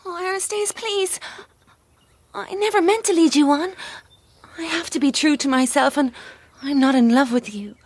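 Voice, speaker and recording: A woman playing a gentle but firm young woman in a comic audio drama, earnest and apologetic with an unwanted suitor. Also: high-pitched, moderate pace, long pauses, lightly acted. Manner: earnest, apologetic, plain-spoken.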